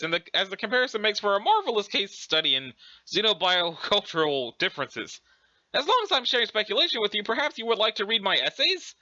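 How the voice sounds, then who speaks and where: A man voicing a chatty, scholarly, excitable alien troll with an affected British accent and a pompous, wordy manner. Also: sits high, no room sound, highs cut off, full character voice. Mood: eager, pedantic. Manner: pompous, wordy, effusively enthusiastic. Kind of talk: theatrical character reading of a webcomic.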